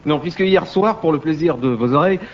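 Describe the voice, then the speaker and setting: A man's voice: a little high, a little fast. A man delivering a comic radio column, joking and mock-formal, with banter. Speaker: radio humorist, TV reviewer. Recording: dull sound, noisy audience. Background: crowd and noise.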